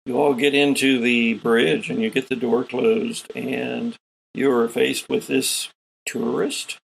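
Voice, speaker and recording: A man's voice: medium pitch, level tone, slow pace, creaky, slight background noise, poor audio. A man hosting as game master, casually narrating a tabletop role-playing game.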